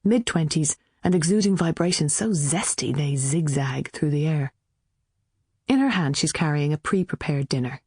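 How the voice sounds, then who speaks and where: An Irish woman reading scripted fiction as an audiobook narrator, with an expressive storytelling delivery. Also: sits low, normal speed, slightly rough, no echo.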